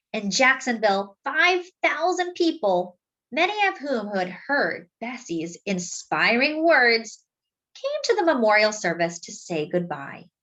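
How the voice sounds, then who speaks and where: A woman reading a picture book aloud as a storyteller, with deliberate, dramatic phrasing and words drawn out for emphasis. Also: fairly high pitch, pushed and bright, poor audio. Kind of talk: expressive children's read-aloud narration.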